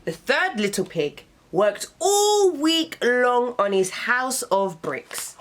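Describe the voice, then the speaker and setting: A young adult woman reading children's stories aloud as a host, with dramatic, deliberate emphasis. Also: a little high, big pitch swings. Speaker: radio host, storyteller. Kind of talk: expressive children's storybook narration.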